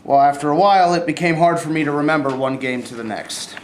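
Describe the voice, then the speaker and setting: A man's voice: on the high side, fast talker, slight background noise, close to an everyday voice. A man playing a weary veteran football player, plain-spoken, evasive and defensive, in a staged reading in an echoey room. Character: weary, guarded veteran football player announcing his retirement.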